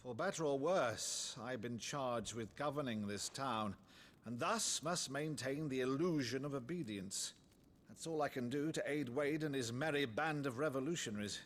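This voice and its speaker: A man's voice: mid-range voice, moderate pace, natural voice. A middle-aged man playing a jovial, theatrical nobleman uncle in a video game cutscene. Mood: grave. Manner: hearty, theatrical, pompous. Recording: game score playing behind. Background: music.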